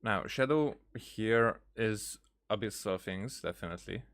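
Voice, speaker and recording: A man giving casual gameplay commentary as a streamer, hesitant and thinking aloud in a halting way. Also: fairly low pitch, fairly bright tone. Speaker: game streamer.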